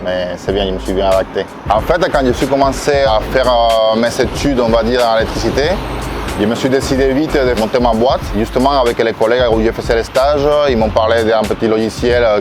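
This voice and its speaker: A man, answering interview questions in a casual, hesitant way with many fillers. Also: fairly low pitch. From Cuba. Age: 40s. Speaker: electrician interviewee. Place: outdoors in a town. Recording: music playing underneath.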